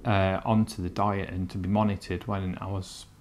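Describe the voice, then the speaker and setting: A man's voice: low-pitched, little pitch movement, normal speed, a little mellow. A man in his thirties who trained as a nurse, speaking thoughtfully and with some hesitation in a documentary interview. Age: 30s.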